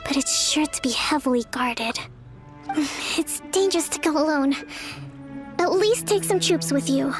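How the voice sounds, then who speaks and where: An actress playing a young, thoughtful female military leader in a video game, soft and measured in delivery. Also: high-pitched, lively intonation. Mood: cautioning. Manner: measured, formal, deliberate. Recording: music behind the voice.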